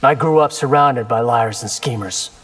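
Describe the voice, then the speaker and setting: An actor playing a cocky, determined young warrior in a video game, casual and wry in delivery. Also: male voice, mid-range voice, moderate pace, faint music behind, close to an everyday voice. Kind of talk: video game character dialogue.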